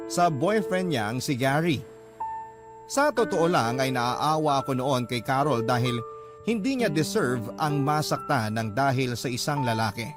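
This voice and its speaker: A man acts out a lovestruck young office worker telling his own story in radio-drama narration. He is earnest and confiding, with a medium-pitched voice over prominent music. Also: lightly acted. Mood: earnest, sympathetic.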